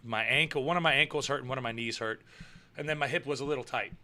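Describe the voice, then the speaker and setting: A young man chats loosely on a live stream and answers viewers as he goes. His voice is bright and forceful. He rambles, repeats himself and chuckles often. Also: mid-range voice, fast talker. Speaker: live show host and pro wrestler. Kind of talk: casual live-stream chat reading viewer comments.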